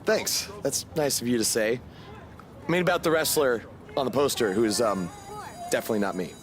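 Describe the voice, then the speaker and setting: A man's voice: mid-range voice, a little fast, natural voice. Humble, awkward young wrestler guy voiced by a man. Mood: awkward, bashful. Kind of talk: video game cutscene dialogue.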